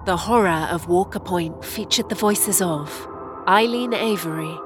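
A woman's voice: lowish voice, measured pace, over music. A woman reading scripted closing credits as a radio announcer.

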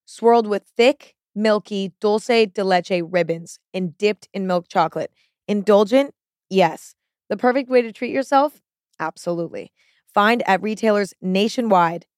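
A woman announcer delivers a scripted ad read, polished and upbeat. Her voice sits on the low side and sounds smooth and resonant. The recording is dry and close. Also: no echo.

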